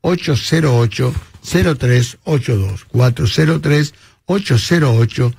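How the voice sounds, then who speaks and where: A man hosting a language community radio show, reading ads and introducing a guest in a friendly, halting way with many fillers. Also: medium pitch, creaky, a little mellow, breathy edge, no echo, studio quality. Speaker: radio host. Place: studio.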